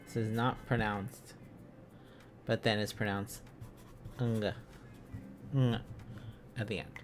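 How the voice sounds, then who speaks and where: Male streamer, slow delivery, casual study chat, playful, thinking out loud, faint background music. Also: fairly low pitch, fairly even intonation. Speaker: livestreamer.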